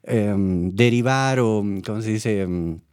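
A man's voice: on the low side, slow pace, darkish tone, clean tone, no echo, studio quality. A man in a casual podcast chat who jokes, laughs often and speaks playfully as a guest.

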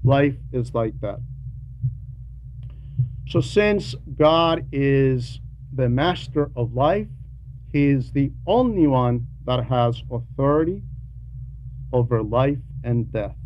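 Slow-speaking man preaching, muffled noisy recording. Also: mid-range voice, expressive. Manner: deliberate, emphatic repetition, explanatory. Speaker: preacher reading a translated homily. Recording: dull lo-fi sound with background noise.

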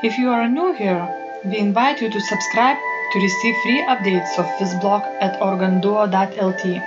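Woman, Lithuanian accent, podcast co-host, modest, clear music underneath, muffled sound. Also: medium pitch, fairly even intonation, normal speed. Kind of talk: casual chat.

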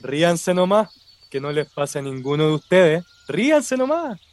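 A man's voice: on the high side, very animated, measured pace. A man with a Chilean accent acting in a radio drama as a respectful, superstitious cemetery worker. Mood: earnest, solemn. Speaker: actor.

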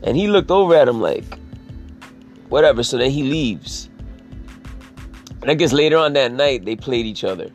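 A man with a raspy voice talking in a casual, rambling monologue, swearing freely and leaning on "you know" as he restarts his sentences. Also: mid-range voice, lively intonation, moderate pace, long pauses, soft background music. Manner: profane, filler-heavy, restarts sentences.

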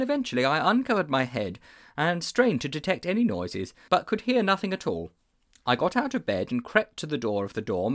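A man's voice: mid-range voice, fairly bright tone. Man doing a dry, formal schoolboy. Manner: precise, dry and formal phrasing. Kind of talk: audiobook character voice.

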